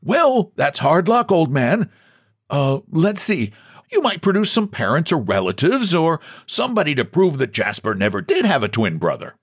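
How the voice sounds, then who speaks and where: A man's voice: fairly high pitch, wildly swooping pitch, moderate pace, slightly rough, no echo, studio quality, pitched above the performer's own voice, heavily acted. A man voicing a condescending bank president with an easy, patronizing, falsely sympathetic manner in a story reading. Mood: mock sympathetic.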